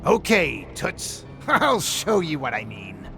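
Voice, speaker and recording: A man voicing a small, maniacal evil puppet in a horror story, with a mocking, drawn-out showman delivery. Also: a little high, huge pitch swings, creaky, bright, forceful tone, soft background music, voice pushed up, exaggerated voice. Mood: taunting.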